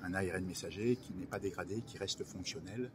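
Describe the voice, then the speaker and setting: Over noticeable ambient noise, a male researcher speaks in a fairly low voice. He gives technical answers as an interviewee, halting and repeating phrases as he explains. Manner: hesitant, repetitive, technical. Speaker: research director in biology, interview guest. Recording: loud ambient background noise.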